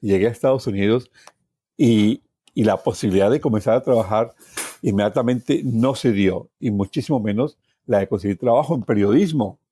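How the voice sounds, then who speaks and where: A man's voice: lowish voice, normal speed, dark tone, a little hiss or hum. A man from Colombia with a Colombian accent, telling his own life story as a guest. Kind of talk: personal storytelling.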